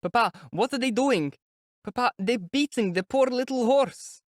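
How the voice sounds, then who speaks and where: A man's voice: very high-pitched, moderate pace, dry recording, studio quality, voice pushed up. A man voicing a distraught young boy crying out to his father. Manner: pleading, crying out.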